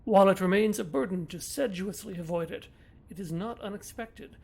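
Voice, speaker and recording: Man as a husky, pompous cosmic entity. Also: high voice, darkish tone, exaggerated voice. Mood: coldly lecturing. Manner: pompous, measured, verbose, detached. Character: pompous reality-creating frog entity, coldly verbose. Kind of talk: mock-grandiose audio drama monologue.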